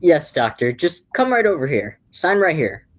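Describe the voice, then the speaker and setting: A woman playing an excitable, flustered young man in a radio play, with a husky voice and a stammer. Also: deep voice, normal speed. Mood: urgent, flustered.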